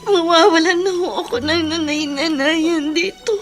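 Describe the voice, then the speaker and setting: A woman's voice: extremely high pitch, moderate pace, over music. An actress playing an anguished, secretive mother in a radio melodrama, pleading and emotional. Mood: sad. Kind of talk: Tagalog radio drama melodrama.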